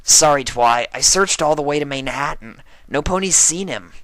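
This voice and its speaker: A young pegasus mare from a cartoon fan story voiced by a man. She is brash and casual, speaking bluntly in a raised, bright voice. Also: on the high side, expressive, moderate pace, no echo, voice pushed up, poor audio. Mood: brisk.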